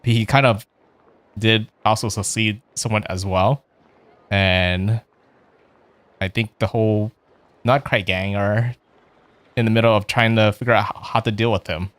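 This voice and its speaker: Low-voiced rambling man streaming a game. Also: steady tone, unhurried, pauses often, fairly bright tone, no room sound. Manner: rambling, with fillers and chuckles. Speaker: virtual streamer playing a video game. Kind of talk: casual let's play commentary.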